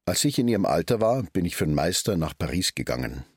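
A deep-voiced, chatty Bavarian hairdresser son. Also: male voice, very animated, quick pace, very noisy. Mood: reflective, wry. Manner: talkative, colloquial narrating. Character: chatty middle-aged hairdresser son of a silent fisherman. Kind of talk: radio drama monologue and dialogue.

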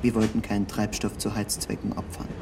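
A man reading a scripted literary narration in the first person, with measured, precise and formal diction. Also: fairly low pitch, monotone, noisy background.

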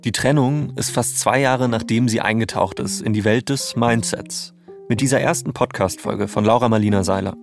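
A man's voice: medium pitch, moderate pace, vocal fry. A man with a standard German accent reading scripted narration as a podcast co-host. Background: music bed.

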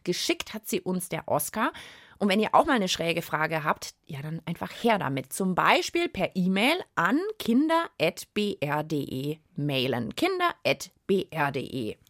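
Eine Frau mit süddeutscher Färbung, die als Moderatorin eines Kinderpodcasts anschaulich plaudert und erklärt. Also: female voice, lowish voice, big pitch swings, measured pace, fairly bright tone, no room sound, studio quality. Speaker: host of children's science podcast. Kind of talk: lively explanatory chat.

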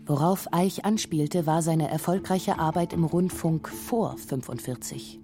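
A woman narrating a scripted radio feature in a sober, measured manner. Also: sits low, dark tone, soft background music. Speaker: documentary narrator.

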